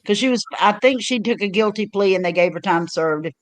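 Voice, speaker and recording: An older woman talks into a home mic on her own livestream. She rambles through stories, circling back with fillers and restarts. Her pitch sits in an ordinary middle range. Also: fairly quick. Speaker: livestream host. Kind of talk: casual chat.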